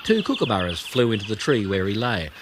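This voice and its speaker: A man reading a children's audiobook as narrator, telling the story expressively with deliberate emphasis. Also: fairly low pitch, very animated, noisy background.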